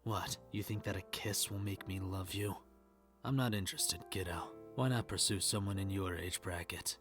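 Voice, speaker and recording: A man playing a bitter, grieving, middle-aged hard-drinking huntsman in a fan comic dub, with a gravelly, raspy voice and a mocking, menacing drawl. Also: sits low, fairly even intonation, moderate pace, over music, close to an everyday voice. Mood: dismissive, low.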